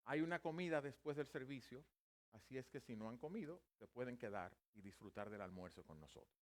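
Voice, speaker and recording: A man's voice: mid-range voice, expressive, a little fast. Spanish-speaking man preaching in a church. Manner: warm self-deprecating humor, then earnest expository preaching. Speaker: pastor. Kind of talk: bilingual church sermon in Spanish. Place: church sanctuary.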